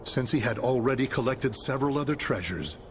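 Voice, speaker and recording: A man's voice: medium pitch, expressive, fairly quick, slight background noise, lightly acted. A man giving scripted, dramatic storytelling narration for a fantasy video game.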